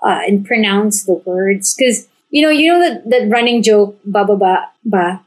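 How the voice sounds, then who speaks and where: A woman's voice: medium pitch, unhurried. A woman hosting a podcast, chatting casually and asking curious follow-up questions. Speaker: podcast host. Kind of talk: casual interview chat.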